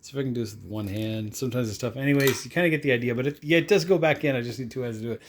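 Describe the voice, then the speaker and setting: A man's voice: mid-range voice, racing, a little hiss or hum. A man giving a casual, unscripted product demonstration in a rambling, offhand way, often checking in with tag questions and repeating catchphrases. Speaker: product reviewer.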